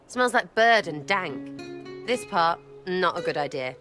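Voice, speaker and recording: A woman's voice: fairly low pitch, expressive, slow pace, music in the background. An actress voicing a cheeky, irreverent young elf woman who teases and uses crude slang. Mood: teasing. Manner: playful, teasing, crude slang. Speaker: companion character.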